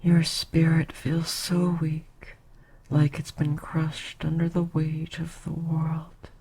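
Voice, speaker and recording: A woman's voice: deep voice, slight background noise. A woman voicing a whispery ancient succubus queen. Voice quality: breathy whisper. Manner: soft, slow, often whispering. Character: ancient queen succubus, tender, seductive, motherly. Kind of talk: ASMR roleplay.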